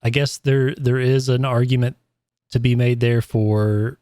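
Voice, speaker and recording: Mellow-voiced, opinionated man co-hosting. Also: medium pitch, steady tone, measured pace, no echo, clean studio sound. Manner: opinionated, argues his point with hesitant restarts. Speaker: podcast co-host. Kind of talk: casual chat.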